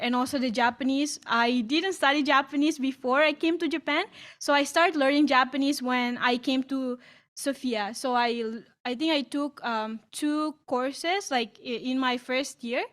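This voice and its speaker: This is a high-pitched young woman from East Timor whose first language is Tetun. She answers in English on a video call. She keeps dropping in "like" and often stops and restarts her sentences. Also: fairly bright tone. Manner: frequent "like" fillers, halting restarts. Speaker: student panelist. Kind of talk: online Q&A answer.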